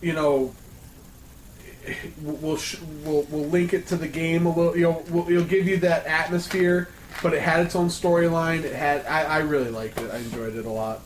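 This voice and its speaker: A man's voice: fairly high pitch, steady tone, moderate pace. A man on a podcast panel chatting casually with friends, informal and often repeating himself. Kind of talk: casual round-table chat among friends. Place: indoor room, group around a table. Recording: echoey room with faint background noise.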